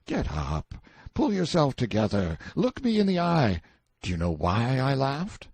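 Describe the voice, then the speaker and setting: Man playing a brash, animated stranded time traveler. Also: mid-range voice, a little slow, breathy edge, no room sound, pitched above the performer's own voice, heavily acted. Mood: commanding. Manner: blunt, emphatic, colloquial. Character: brash, sardonic, self-assured man stranded in King Arthur's court.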